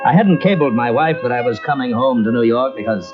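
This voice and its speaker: A man's voice: medium pitch, expressive, fairly quick, natural voice. A man playing a frantic newlywed engineer. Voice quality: urgent first-person narration swinging to shouted calls. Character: young male engineer, newlywed, frantic over a murder. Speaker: lead actor narrating his part. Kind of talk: 1940s radio acting. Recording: dull lo-fi old radio tape with music.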